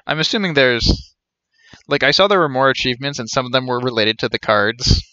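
A man's voice: medium pitch, expressive, brisk, bright, forceful tone, no room sound, dull audio. A young man giving casual, rambling live commentary as he streams a game.

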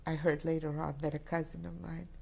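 A Polish-born woman in her sixties, a native Polish speaker, giving reflective oral history testimony in a halting way, with many restarts. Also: low voice, flat delivery, vocal fry, mellow, a little hiss or hum. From Poland. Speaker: interviewee. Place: indoor studio set with plants.

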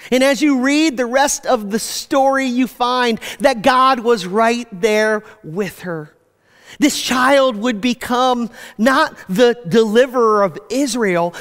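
A man in his forties preaching a sermon from a church stage, animated, his voice dropping low at the ends of phrases. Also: long reverb tail. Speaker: pastor. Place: church stage with screens, indoors.

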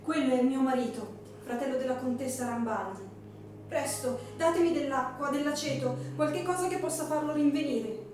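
A woman playing a tender, anxious young wife in a radio drama, with a soft, pleading and emotional manner. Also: high-pitched, normal speed, a little hiss or hum, natural voice. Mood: urgent. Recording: very echoey room, faint music underneath.

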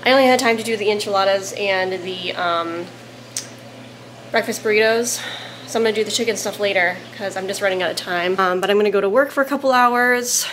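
A woman chats into her camera at home in the kitchen, meal-prepping as she talks. Her voice sits at an ordinary middle pitch, and her speech meanders with frequent "like" and "um" fillers. A faint noise floor hums behind her. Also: brisk, fairly bright tone. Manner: rambling, many "like" and "um" fillers. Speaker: vlogger and photographer. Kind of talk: casual vlog chat.

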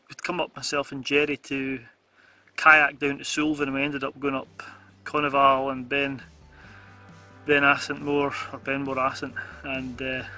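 A Scottish man in his forties chatting to camera from inside a car at night, rambling with small laughs. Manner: hushed and low at bedtime. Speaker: vlogger. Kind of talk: casual vlog to camera. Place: lying in bed in the back of a car. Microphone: camera on dashboard close up.

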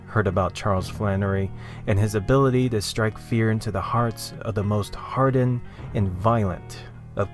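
Male narrator, lower register, theatrical showman delivery for dramatic storytelling, faint music underneath.

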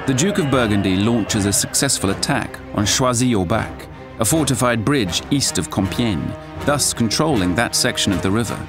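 A man acting as narrator of an audio drama, a solemn chronicler telling a war story in measured, dramatic phrases. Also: lowish voice, expressive, over loud music.

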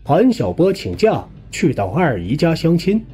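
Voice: medium pitch, big pitch swings, unhurried, mellow, soft background music. A narrator reading a suspense ghost story aloud as scripted, dramatic audiobook narration.

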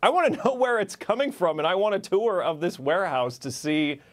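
A man in his thirties reports from a studio on a lapel mic, his fairly high voice bouncing around a wide range. He reads a script but slips in wry, chatty asides. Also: expressive, brisk. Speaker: news correspondent. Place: TV news studio.

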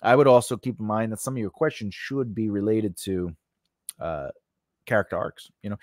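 A man's voice: fairly low pitch, moderate pace, darkish tone, dry recording. A middle-aged man giving a conversational lesson as host, emphatic and speaking close into a studio microphone. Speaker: host of a screenwriting lesson channel. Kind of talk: conversational instructional talk to camera. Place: small indoor home studio with cloth backdrop. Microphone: close studio condenser mic on a stand.

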